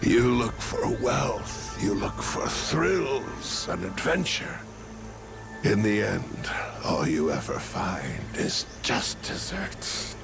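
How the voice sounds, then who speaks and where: An actor playing a dying treasure hunter father, wistful and tender, with a breathy, halting delivery in a dramatic game cutscene. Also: fairly low pitch, big pitch swings, exaggerated voice. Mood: rueful. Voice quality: breathy, gravelly. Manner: halting, labored speech. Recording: music under the voice.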